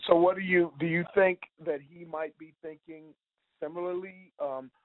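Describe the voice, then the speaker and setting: A male reporter asking informal press questions in a halting way, rephrasing them as he goes. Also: fairly high pitch, steady tone. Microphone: telephone. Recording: narrow-band conference phone line.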